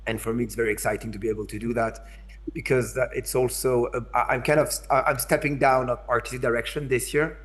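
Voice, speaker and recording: A man's voice: mid-range voice, fairly even intonation, fast, a bit bright. French-accented man on a video call. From France. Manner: hesitant, repeats words, checks in with listeners. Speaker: invited speaker, curator. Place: in São Paulo, remote.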